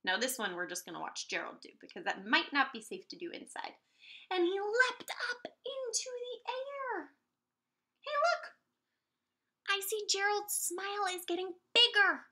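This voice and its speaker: A woman preschool teacher reading a picture book aloud in an expressive, encouraging way, pausing to explain things simply and ask young children questions. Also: very high voice.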